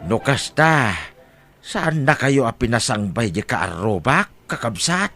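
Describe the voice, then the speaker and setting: Man acting swooping, slow-talking Ilocano villager. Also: medium pitch, expressive, bright, forceful tone, soft background music, exaggerated voice. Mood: concerned. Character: helpful, folksy male villager with a big heart. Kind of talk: Ilocano audio drama acting.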